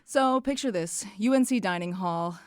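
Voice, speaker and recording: A woman's voice: mid-range voice, moderate pace, over a noisy crowd. A young woman from North Carolina, lead singer of a student band, telling stories in a playful, dramatic way. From Charlotte, North Carolina. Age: young adult. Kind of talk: casual interview storytelling.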